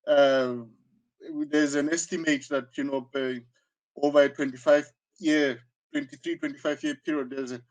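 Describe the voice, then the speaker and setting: A sixty-year-old man with a southern African accent, reflecting in conversation as an interview guest, halting and often repeating himself with frequent "you know". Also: a little high, fairly even intonation. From Zambia, born in South Africa. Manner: halting, searching delivery with frequent repetitions and 'you know'. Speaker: interview guest, karate teacher.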